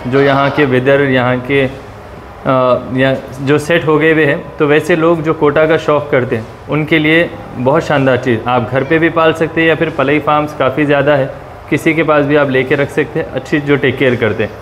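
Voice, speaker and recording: A fairly high-voiced man talks casually, echoing each price and detail for his viewers. He records on a phone in an indoor goat pen. A faint hum of ambience sits behind him. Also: fairly even intonation, moderate pace. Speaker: host of a goat-sale channel. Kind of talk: casual on-site interview.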